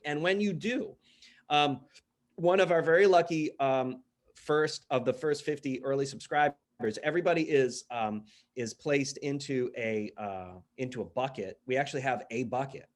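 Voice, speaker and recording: A man hosting a casual promotional chat, informal and often repeating himself for emphasis. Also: mid-range voice. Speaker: interviewer and host. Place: virtual studio online call.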